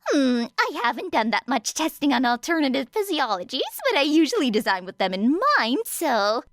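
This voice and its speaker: A woman voicing an excitable, boastful teenage girl inventor in an audiobook, exuberant and dramatic. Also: high voice, moderate pace, no echo, clean studio sound, pitched above the performer's own voice. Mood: eager.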